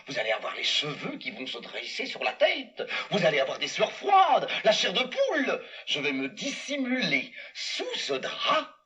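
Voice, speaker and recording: A man's voice: high voice, huge pitch swings, normal speed, few pauses, sharp tone, exaggerated voice. A man voicing a scheming male castle steward in a live puppet show for children, gleeful and theatrical as he talks to the audience. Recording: dull recording from far off.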